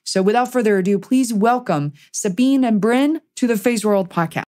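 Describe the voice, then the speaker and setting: A woman's voice: on the high side, normal speed, darkish tone. A woman hosting a podcast, chatting casually and encouragingly as she interviews.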